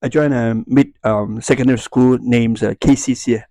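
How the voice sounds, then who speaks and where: Guy in his 50s w a hong kong cantonese accent, native cantonese, chatting to viewers, kinda halting, searching for words, very dry room sound. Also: medium pitch, fairly even intonation, warm tone, dry recording. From Hong Kong and Macau. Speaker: YouTuber. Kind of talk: casual talk to viewers, unscripted.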